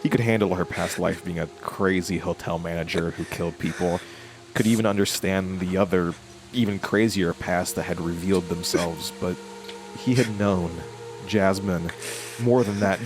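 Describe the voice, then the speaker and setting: A man playing a brooding, haunted narrator in a horror audio drama, with a grave, halting and reflective delivery. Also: low-pitched.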